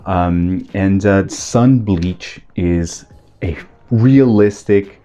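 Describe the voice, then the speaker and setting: A man's voice: deep voice, very slow, dark tone. A man with a Portuguese accent giving a casual, enthusiastic video review as a channel host.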